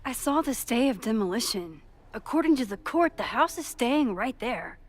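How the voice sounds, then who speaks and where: An actress playing a determined, inquisitive young amateur sleuth in a video game, understated and thoughtful. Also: female voice, a little high, sing-song, moderate pace. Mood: challenging. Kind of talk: naturalistic video game acting. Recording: music behind the voice.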